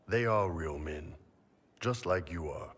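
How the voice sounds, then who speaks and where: An actor playing a big, gruff and jovial horned mercenary captain in a fantasy game, easygoing and teasing. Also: male voice, sits low, slow, long reverb tail, full character voice. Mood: matter-of-fact. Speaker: mercenary company leader.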